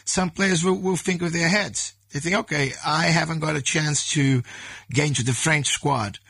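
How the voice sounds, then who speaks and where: Hoarse Brazilian-born man, gravelly and husky. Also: a little high, moderate pace, fairly bright tone, dry recording. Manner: rambling, with restarts and laughter. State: sore voice. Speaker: journalist guest. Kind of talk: casual interview chat.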